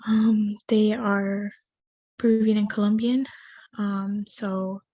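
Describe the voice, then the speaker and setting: A woman in her twenties from Miami, whose first language is Spanish, playing a client in a practice counseling session and speaking haltingly with many fillers. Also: medium pitch, little pitch movement, dark tone. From Miami, USA. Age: 20s. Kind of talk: mock counseling intake conversation.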